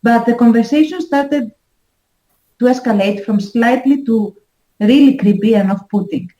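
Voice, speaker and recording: A woman's voice: a little high, moderate pace, dark tone, roomy sound, poor audio. A woman on a podcast, reading out a strange dream story in a wry, reflective way. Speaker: podcast guest. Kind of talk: casual chat, reading a story.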